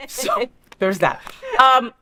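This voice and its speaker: A woman's voice: a little high, slow pace, crowd noise. A middle-aged woman hosting a casual interview, bubbly and teasing, laughing often. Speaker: host.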